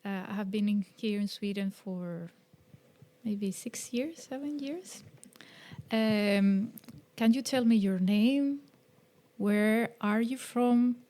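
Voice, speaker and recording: Slow-talking woman host. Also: medium pitch. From Colombia. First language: Spanish. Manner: relaxed, chatty, with many fillers. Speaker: podcast host. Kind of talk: casual bilingual discussion.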